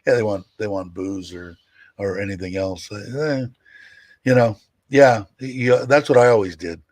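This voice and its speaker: Low-voiced man in his sixties, rambling. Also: normal speed, a little mellow, dry recording. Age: 60s. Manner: rambling, candid, with fillers and laughs. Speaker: livestream host. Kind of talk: casual livestream chat, reading viewer comments.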